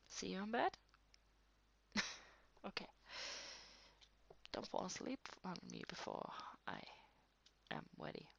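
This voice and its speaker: A woman improvising an intimate one-sided roleplay as a tired, affectionate writer talking to a partner late at night, halting and breathy, often dropping to a near whisper. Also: fairly low pitch. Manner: hesitant, halting, with frequent sighs and soft laughs. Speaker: audio roleplay performer.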